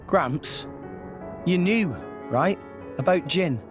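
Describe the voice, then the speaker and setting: Earnest teen boy hero, high voice, swings up and down, game cutscene. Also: expressive, very slow, music in the background, exaggerated voice. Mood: troubled. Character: earnest, spirited teenage boy adventurer. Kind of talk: dubbed video game cutscene acting.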